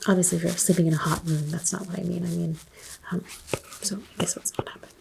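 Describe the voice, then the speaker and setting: A soft-spoken woman doing an ASMR doctor roleplay, asking unhurried exam questions and slipping into whispers. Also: fairly low pitch, fairly even intonation, creaky, background noise.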